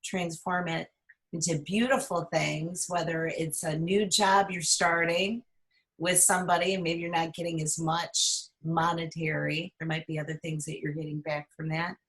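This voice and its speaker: Low-voiced encouraging woman host. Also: flat delivery, normal speed, a bit bright. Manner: encouraging, laughs readily. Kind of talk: casual interview chat.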